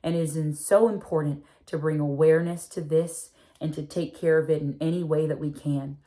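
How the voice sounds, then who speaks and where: A woman reads a children's picture book aloud in a slightly low voice. She is expressive and drawn out, often slowing down to stretch her phrases. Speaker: read-aloud narrator.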